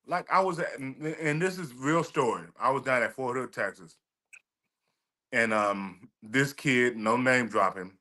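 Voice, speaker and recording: A man's voice: medium pitch, unhurried, sharp tone. A man co-hosting a podcast, chatting casually and telling stories in an emphatic, profane way. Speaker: podcast co-host. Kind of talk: casual chat.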